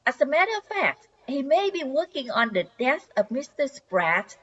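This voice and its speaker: An older woman playing a polite suburban housewife greeting neighbors in a radio comedy, expressive, on a dull recording. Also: high voice, normal speed. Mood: knowing. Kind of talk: old-time radio comedy acting. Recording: dull lo-fi sound.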